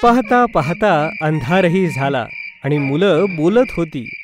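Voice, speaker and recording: High-pitched man narrating a children's story. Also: expressive, takes it slowly, a crowd in the background.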